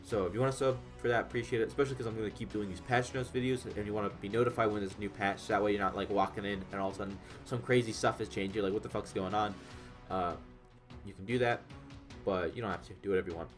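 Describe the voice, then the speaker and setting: A man's voice: lowish voice, fairly even intonation, fast. A congested young man with a nasal voice, casually rambling and swearing as he reads game patch notes aloud. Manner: rambling, profane, frequent fillers and restarts. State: congested, under the weather. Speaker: gaming YouTuber. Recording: faint background music.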